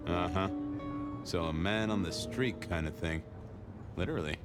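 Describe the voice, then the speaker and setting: An actor playing a cool, laconic noir police detective with a dry delivery in a video game. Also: male voice, low-pitched, normal speed, loud music behind the voice, natural voice. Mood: dry, probing.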